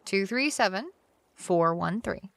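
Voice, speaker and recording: Wide-eyed curious young woman in a sci-fi audio drama, bit of vocal fry, dry close sound. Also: mid-range voice, expressive, takes it slowly, no room sound. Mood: hesitant, friendly. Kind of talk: full-cast audio drama acting.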